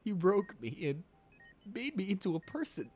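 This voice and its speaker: A man playing a ruthless but devoted lover in an intimate romantic ASMR roleplay, speaking in a halting, emotional, pleading way. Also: sits high, wildly swooping pitch, darkish tone, husky, full character voice. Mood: anguished.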